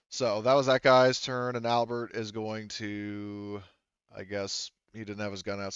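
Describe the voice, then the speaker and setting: A man's voice: lowish voice, smooth, no echo, highs cut off. A man running a tabletop game as game master, narrating casually and thinking aloud in a hesitant way.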